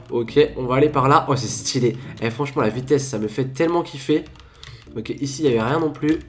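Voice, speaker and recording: High-voiced man giving playful game commentary. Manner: chatty, playful, bursts into laughing shouts. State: stuffy with a cold. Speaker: gaming YouTuber. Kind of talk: excited Minecraft commentary.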